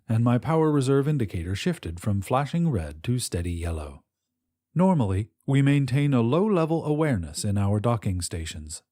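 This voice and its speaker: A man reading first-person fiction as an audiobook narrator in a measured, precise, gentle storytelling delivery. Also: medium pitch.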